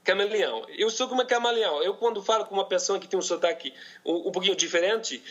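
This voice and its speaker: Um convidado de fala hesitante com sotaque de Bogotá, que recomeça as frases com frequência. O tom é levemente agudo e a gravação é opaca e lo-fi. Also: male voice, high-pitched, a bit bright. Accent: Colombian Spanish, from Bogotá. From Colombia. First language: Spanish. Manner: halting, searching for words, many restarts. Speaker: podcast guest, polyglot. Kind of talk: casual conversation in a second language.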